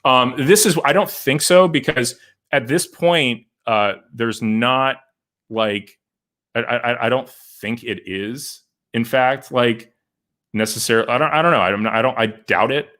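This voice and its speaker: A man hosting a livestream, giving legal commentary and answering viewer comments, often halting and restarting as he thinks aloud. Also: mid-range voice, fairly bright tone. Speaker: lawyer and show host.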